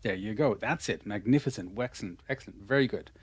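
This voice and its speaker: A man's voice: fairly low pitch, fast, breathy edge. A man with an Irish accent teaching English pronunciation in an online lesson. He talks patiently and encouragingly, repeating his praise often. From Ireland.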